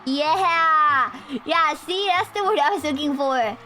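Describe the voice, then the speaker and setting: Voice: high voice, slow, sharp tone, soft background music. A young adult VTuber streamer with a Romanian accent, chatting with viewers in a goofy, rambling, playful way. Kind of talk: casual live-stream chat.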